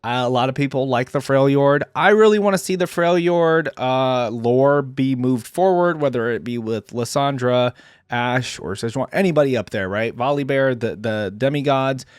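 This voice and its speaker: A man's voice: medium pitch, normal speed, clean tone, no room sound, clean studio sound. A man hosting a reaction video, giving casual fan commentary on video game voice lines.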